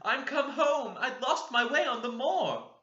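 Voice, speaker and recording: A man's voice: very high-pitched, expressive, pushed and bright, echoey room, dull audio, voice pushed up, heavily acted. A man voicing a wailing ghost girl. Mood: pleading. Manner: sobbing, drawn-out pleading cries. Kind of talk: audiobook reading.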